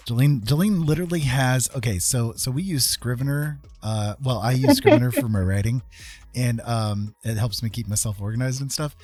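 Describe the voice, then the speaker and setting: A man's voice: mid-range voice, big pitch swings, moderate pace, darkish tone, dry recording, faint music behind. A man co-hosting a livestream in casual, slightly hesitant chat, restating his phrases. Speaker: livestream co-host.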